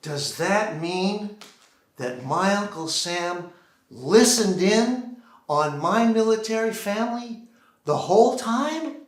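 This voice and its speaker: An American man performing a theatrical solo monologue, deliberately acting out one side of a phone call on a theater stage. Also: high-pitched, expressive. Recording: roomy, echoing live theater sound.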